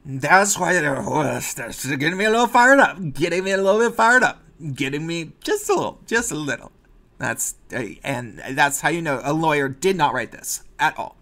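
Nasal man ranting sarcastically. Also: a little high. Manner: sarcastic, rambling, animated. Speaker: commentary vlogger. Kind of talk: casual opinion commentary.

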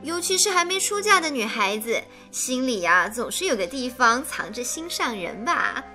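A woman's voice: fairly high pitch, very animated, normal speed, pushed and bright, soft background music, full character voice. An actress playing a chatty, teasing and devoted young woman in radio drama dialogue.

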